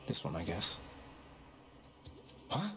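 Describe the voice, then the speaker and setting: A man's voice: takes it slowly, music in the background, close to an everyday voice. A man voicing a wry, earnest young rebel prince of the underworld in a video game, with a dry, understated manner. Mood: muttering, determined. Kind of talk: video game character acting.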